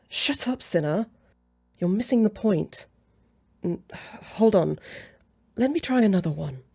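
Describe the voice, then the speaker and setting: A woman's voice: mid-range voice, animated, slow, creaky, mellow, slightly rough, full character voice. A woman playing a haughty, jealous female vampire in an ASMR roleplay, with a snippy, sarcastic way of talking. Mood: flustered, irritated. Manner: snippy, sarcastic, easily flustered.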